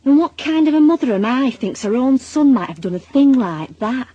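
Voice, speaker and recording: A woman's voice: sits high, lively intonation, moderate pace, dark tone, muffled recording. An actress playing a worried, middle-aged Northern English mother with a dry, sardonic manner in a naturalistic radio drama. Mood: agitated, guilty. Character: worried, wry Northern mother of a suspected lad.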